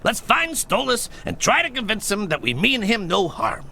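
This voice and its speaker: A man voicing a cautious old leader of little cartoon creatures in a video game, creaky, authoritative and fatherly. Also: high voice, big pitch swings, normal speed, sharp tone, a little hiss or hum, heavily acted. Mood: cautious, serious.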